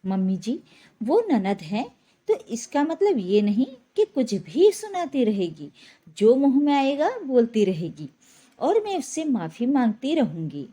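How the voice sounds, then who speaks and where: A woman's voice: a little high, sing-song, unhurried, mellow, slightly rough, full character voice. A woman voicing an indignant young daughter-in-law who is firm and defiant, in a spoken story.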